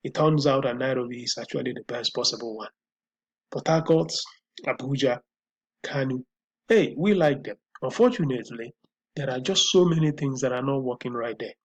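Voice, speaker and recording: Man, Nigerian accent, husky, mid-pitched, solo commentary, repetitive. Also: normal speed, muffled recording. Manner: repetitive, thinking aloud with restarts. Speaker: host.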